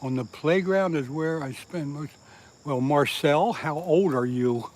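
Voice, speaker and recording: A man's voice: fairly high pitch, a little slow, rough recording. A man from southeast Pennsylvania chatting on a casual fishing livestream, reading viewer comments aloud and laughing at his own jokes. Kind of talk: casual live-stream chat with viewers. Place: outdoors by the water.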